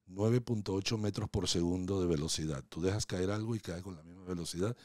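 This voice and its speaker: A man's voice: low voice, a little fast, darkish tone, no room sound, clean studio sound. A man, a podcast guest chatting casually, playful and storytelling. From Venezuela. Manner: playful, asks teasing questions, storytelling. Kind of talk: casual interview chat. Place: small home podcast studio. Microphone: studio mic on boom arm, headphones.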